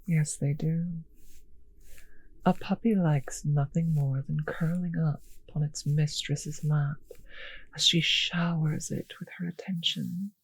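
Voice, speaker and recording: A woman playing a possessive, teasing vampire mistress in an intimate roleplay audio drama, speaking slowly and condescendingly with a breathy voice that often sinks to a whisper. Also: deep voice, steady tone, dry recording, exaggerated voice, low-quality recording. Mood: doting, possessive.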